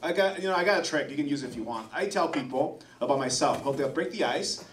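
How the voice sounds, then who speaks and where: Animated stand-up comic in a roomy club. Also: male voice, on the high side, fast talker, slight background noise. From Taiwan. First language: Mandarin. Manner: animated punchline delivery. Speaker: stand-up comedian. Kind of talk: stand-up set. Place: comedy club stage. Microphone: handheld mic, far camera. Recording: roomy club sound from far off. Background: audience laughter.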